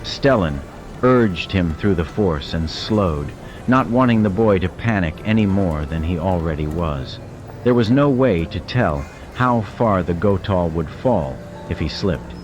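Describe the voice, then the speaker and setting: A man with a very deep voice narrates fiction dramatically, as in a cinematic audiobook. Prominent music runs behind his scripted reading. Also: expressive, unhurried, a little mellow.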